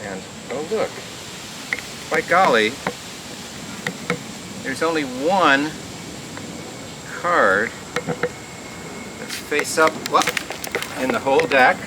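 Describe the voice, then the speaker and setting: A man's voice: on the high side, expressive, extremely slow, long pauses. A man hosting a show with casual, off-the-cuff live patter. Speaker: host performing a card trick. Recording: very noisy live recording.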